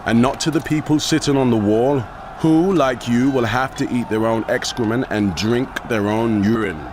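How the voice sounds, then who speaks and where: A man's voice: medium pitch, moderate pace, close to an everyday voice. A man playing an arrogant, taunting Assyrian military commander in a dramatized audio scripture, declaiming with mocking force. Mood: contemptuous. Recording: noisy outdoor ambience behind.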